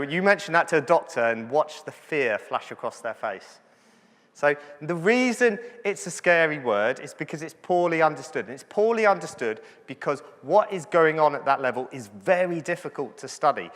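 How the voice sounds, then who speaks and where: A man's voice: fairly high pitch, fairly bright tone. A man, a doctor, giving a chatty, joking conference lecture to physiotherapists and checking in with the audience. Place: large conference hall. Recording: hall reverb from the venue.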